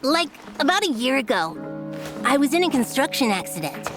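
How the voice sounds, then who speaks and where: A woman voicing a small, boastful, excitable girl boss in an animated game trailer. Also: high voice, moderate pace. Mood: boastful storytelling. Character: small, boastful, excitable girl who bosses a construction company. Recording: loud music under the voice.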